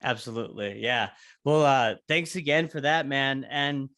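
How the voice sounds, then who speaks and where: A man's voice: mid-range voice, moderate pace, fairly bright tone, no room sound, studio quality. A man hosting a podcast, chatting in a laid-back way and often tagging his sentences with "man.". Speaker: podcast host. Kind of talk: casual interview chat.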